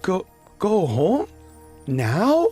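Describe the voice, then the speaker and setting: A woman's voice: medium pitch, huge pitch swings, extremely slow, dark tone, slightly rough, soft background music, heavily acted. A woman playing a cheerful, cocky boy adventurer in a children's storybook reading. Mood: casual. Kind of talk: children's storybook role-play.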